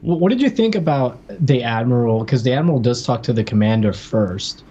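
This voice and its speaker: A man's voice: mid-range voice, animated, fairly quick, dark tone, husky. A man co-hosting a podcast, chatting casually and informally as he reviews a television show. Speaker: podcast co-host. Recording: dull lo-fi microphone sound.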